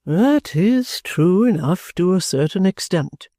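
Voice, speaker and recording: A reader voicing a kindly, vehement gentleman guardian in an audiobook, speaking with earnest, drawn-out emphasis. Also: mid-range voice, big pitch swings, breathy edge, no echo, studio quality, exaggerated voice.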